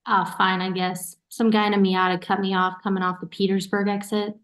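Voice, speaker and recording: A woman acts out a caring sister in a stage play who likes to tease and is quick to get suspicious. She speaks in a natural, conversational way at a medium pitch. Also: flat delivery, a bit bright, natural voice. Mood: teasing, casual. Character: caring, teasing, suspicious sister of a young man. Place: remote online staged reading.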